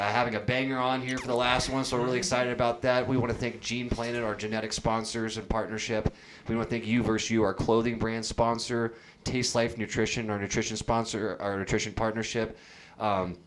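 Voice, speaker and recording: A man's voice: fairly low pitch, level tone, a little fast, a little hiss or hum. A man co-hosting a podcast, running through the sponsor list in an upbeat way. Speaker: podcast co-host. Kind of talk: reading sponsor plugs.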